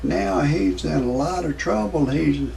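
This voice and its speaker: A man in his sixties giving rambling, off-the-cuff commentary in a folksy, repetitive, halting way, as an amateur video host. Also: a little high, vocal fry, dark tone, distant mic, slight background noise. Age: 60s.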